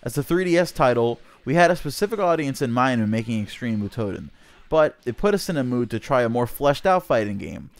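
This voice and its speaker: Guy reading scripted gaming news for a channel, average pitched voice, narrating an interview. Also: expressive, fairly quick.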